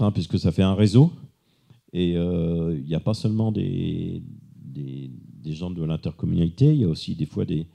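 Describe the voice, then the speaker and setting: A man presents at a technical conference without a script. His voice is deep and very dark, with a lot of fry in it. He explains in a loose, hesitant way. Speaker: conference speaker.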